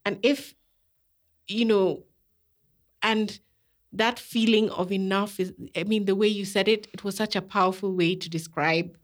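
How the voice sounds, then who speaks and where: A woman psychologist speaking thoughtfully on a podcast, with many pauses and fillers. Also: medium pitch, fairly even intonation. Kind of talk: casual podcast conversation.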